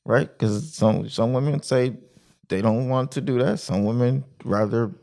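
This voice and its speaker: A man's voice: medium pitch, a little slow, creaky, mellow. A man in his thirties in casual podcast chat, laid-back, repeating his phrases with loose slang. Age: 30s. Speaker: podcast co-host.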